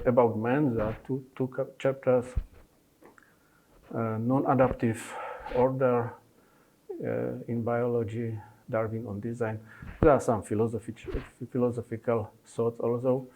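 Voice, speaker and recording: A man in his fifties whose first language is Polish, presenting his book with many pauses, fillers and restarts in non-native phrasing. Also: medium pitch, darkish tone, poor audio. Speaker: consultant pediatrician.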